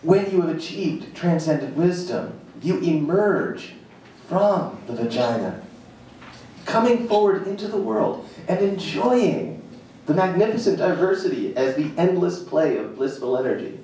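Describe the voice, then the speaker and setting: A man giving a live dramatic reading of an essay, deliberate and emphatic, pausing between short phrases. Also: fairly high pitch, lively intonation, mellow. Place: live reading at a jazz club. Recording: echoey room, far from mic, faint noise.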